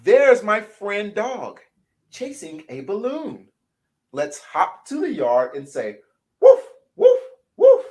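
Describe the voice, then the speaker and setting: A man's voice: high voice, huge pitch swings, slow pace, dark tone, pitched above the performer's own voice, full character voice. Horace, a man doing a stuffy sick horsefly. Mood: singsong. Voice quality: stuffy, hoarse-sounding sick voice. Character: sick, stuffy-nosed little horsefly, gentle and sweet. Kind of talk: children's picture-book read-aloud.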